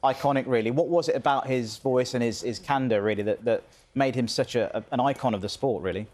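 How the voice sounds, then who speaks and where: Male co-presenter, medium pitch, conversational interviewing with wordy questions. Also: quick pace, darkish tone. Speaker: broadcast co-presenter. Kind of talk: live broadcast interviewing.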